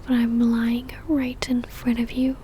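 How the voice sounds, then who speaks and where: A woman playing an obsessive, seductive female vampire in a whispered ASMR roleplay, speaking intimately with a teasing, soft menace. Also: fairly high pitch, level tone, unhurried, a little hiss or hum, close to an everyday voice. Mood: seductive, possessive.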